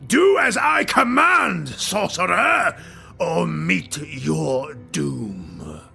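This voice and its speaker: Man acting wrathful, loud dark elf warlord. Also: a little high, sing-song, very slow, vocal fry, faint music behind, pitched above the performer's own voice, exaggerated voice. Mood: raging. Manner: commanding, menacing, theatrical threats. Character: proud, wrathful male dark elf highborn warrior. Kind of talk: dramatic fantasy audiobook acting.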